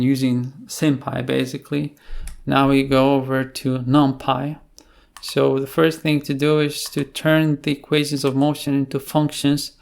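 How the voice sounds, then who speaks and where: A tutorial instructor explaining things off the cuff, in a halting way with pauses mid-phrase. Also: mid-range voice, gravelly. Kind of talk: unscripted screencast tutorial explanation.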